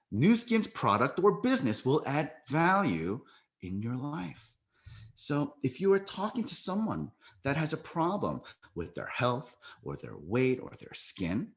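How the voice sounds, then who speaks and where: An American man from the United States giving a sales training talk on a video call, coaching listeners and often checking in with "right?". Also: medium pitch.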